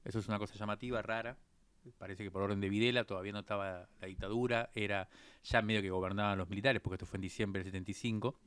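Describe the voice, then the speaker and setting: A man's voice: on the low side, fairly even intonation, fast, a bit bright, clean tone, no echo. A man in his fifties from Argentina, speaking with an Argentine accent as an interview guest, telling his life story thoughtfully with frequent hesitant fillers. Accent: Argentine Spanish. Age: 50s. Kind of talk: reflective personal storytelling.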